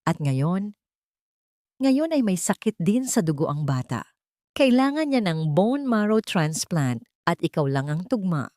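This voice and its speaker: A woman narrator puts on a frightened old Filipino maidservant from a drama story. She confesses and pleads with remorse, in a low voice recorded close and dry. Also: big pitch swings, a little slow, dark tone, dry recording. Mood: remorseful, pleading. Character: remorseful, frightened old female household servant.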